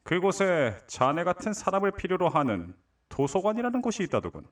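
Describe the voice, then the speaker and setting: A man acts an old-fashioned village elder in a fantasy audio drama. The voice sits high and swings in pitch, offering friendly advice in quaint, avuncular phrasing. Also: huge pitch swings, fairly quick. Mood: advising.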